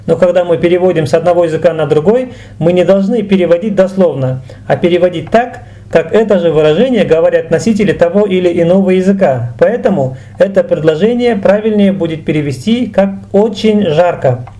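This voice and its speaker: High-pitched, mellow-voiced male language teacher. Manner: didactic, deliberate enunciation of examples. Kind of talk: explanatory video lesson.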